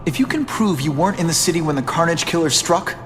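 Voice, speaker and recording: A man's voice: fairly high pitch, lively intonation, fast talker, slight background noise, lightly acted. A young actor playing a principled young male superhero in a video game, earnest and direct. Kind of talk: video game cutscene acting. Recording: game audio with music. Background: music.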